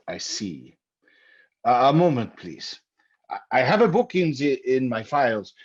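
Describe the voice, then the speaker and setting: A man playing a grave, scholarly occult doctor in old-time radio style, speaking haltingly, deliberately and ominously on a dull old recording. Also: mid-range voice, sing-song, breathy edge. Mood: pensive.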